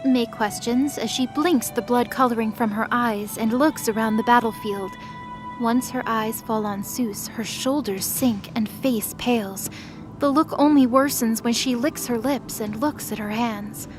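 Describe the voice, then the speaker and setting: Higher-voiced woman narrating dramatically. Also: unhurried, over music. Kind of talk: dramatic scripted narration of a fantasy audio drama.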